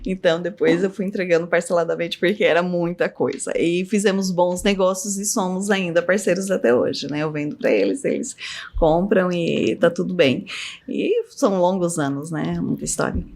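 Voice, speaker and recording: Lively middle-aged woman storyteller. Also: moderate pace, creaky. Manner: lively storyteller, voice jumps up high in anecdotes, laughs often. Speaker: podcast guest, business owner. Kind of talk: casual storytelling interview.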